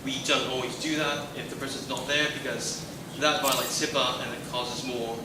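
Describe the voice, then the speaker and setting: A man's voice: mid-range voice, level tone, normal speed. A man on a debate panel explaining emergency medical procedures in a matter-of-fact way. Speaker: paramedic panelist. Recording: echoey room from far off, loud noise.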